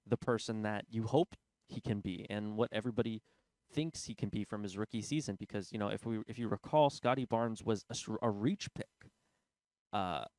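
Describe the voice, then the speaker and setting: Congested man with a cold, low voice. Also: a little mellow, clear, resonant tone, dry recording. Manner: rambling and informal, thinking aloud. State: congested from a cold, sore throat. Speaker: basketball video host. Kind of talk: casual sports commentary.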